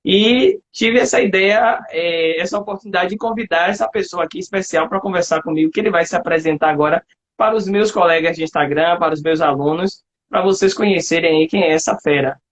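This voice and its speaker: A man's voice: sits high, a little fast. A man from Salvador with a Bahian accent hosting a casual, colloquial live chat online. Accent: Bahian Brazilian Portuguese. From Salvador, Bahia, Brazil. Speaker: host, literature teacher. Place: online video live, remote call. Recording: dull lo-fi livestream audio.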